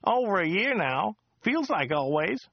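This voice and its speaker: A man voicing a chatty, excitable driver who rambles, repeats himself and laughs at his own jokes. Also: unhurried, gravelly, dry recording, muffled recording, full character voice. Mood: rambling chatter. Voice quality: high, loud and excitable. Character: chatty loud male American taxi driver. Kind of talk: audiobook character voice.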